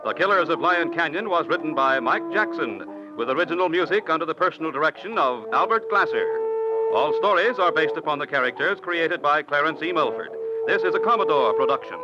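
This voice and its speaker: A man reading the closing credits of a 1950s radio western in a brisk, formal way. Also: a little high, lively intonation. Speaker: announcer. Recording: dull 1950s radio recording over music.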